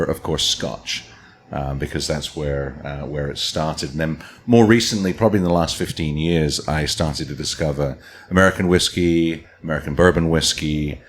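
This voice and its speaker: A deep-voiced man from Scotland chats easily as a podcast guest. He wanders through his thoughts with small restarts. A faint hum of room noise sits under his voice. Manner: relaxed, rambling with restarts. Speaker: distillery founder, guest. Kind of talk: casual podcast conversation.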